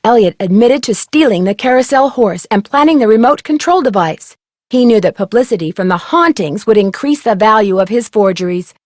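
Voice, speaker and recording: A woman's voice: on the high side, expressive, fairly quick, a little mellow, no echo, clean studio sound, natural voice. A woman voicing a young amateur detective in a mystery game, polite and level-headed, telling things in an articulate, composed way. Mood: composed, wry. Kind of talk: scripted mystery game voice acting.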